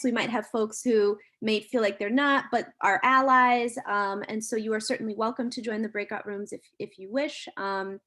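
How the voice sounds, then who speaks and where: A woman's voice: on the high side, fairly bright tone. A woman moderating an online panel over a video call, giving organized, practical announcements. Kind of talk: event moderation.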